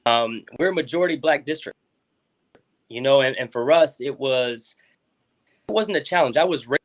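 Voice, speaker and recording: A man's voice: medium pitch, animated, moderate pace. Rambling man on a talk show. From South Florida. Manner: rambling, many restarts and fillers. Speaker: school board member guest. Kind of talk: casual interview talk.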